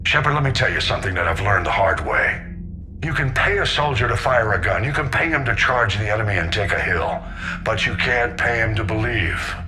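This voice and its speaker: A man's voice: on the low side, expressive, fairly quick, natural voice. A man playing a stern, plainspoken older fleet admiral who mentors, in a video game. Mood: grave, encouraging. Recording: clear background ambient noise.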